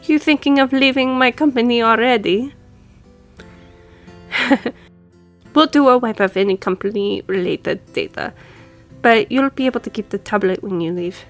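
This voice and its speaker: A woman's voice: on the high side, measured pace, long pauses, soft background music. A woman playing a friendly, businesslike law firm boss in an audio roleplay, speaking conversationally to the listener. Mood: friendly, lightly teasing. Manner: businesslike, conversational, lightly teasing. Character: brisk, friendly female law firm boss giving instructions.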